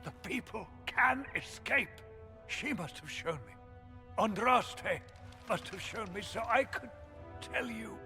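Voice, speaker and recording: An actor playing a devout, earnest male church chancellor who speaks in a halting, reverent way, in a video game cutscene. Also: high voice, lively intonation, sharp tone, faint music behind, heavily acted.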